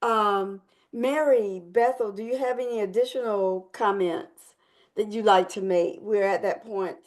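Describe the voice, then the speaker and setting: Woman, meeting chair, measured and encouraging, mid-range voice. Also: mid-range voice. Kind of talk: online meeting facilitation.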